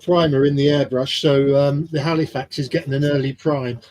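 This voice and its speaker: A man's voice: medium pitch, steady tone, normal speed, darkish tone, a little hiss or hum. A middle-aged man from England in a casual chat, talking in a chatty, self-mocking way. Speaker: co-host.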